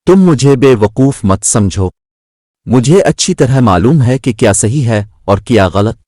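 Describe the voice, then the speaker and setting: A man's voice: mid-range voice, lively intonation, unhurried, a little mellow, dry recording, studio quality. Man voicing a stubborn, sulky little duckling. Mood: indignant. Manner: indignant, plaintive.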